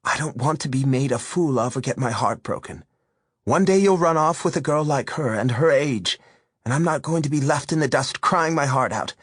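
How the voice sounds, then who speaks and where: A man's voice: medium pitch, breathy edge, no room sound, studio quality, pitched above the performer's own voice. A man voicing a jealous older woman who is furious, biting and sarcastic, in a storybook reading.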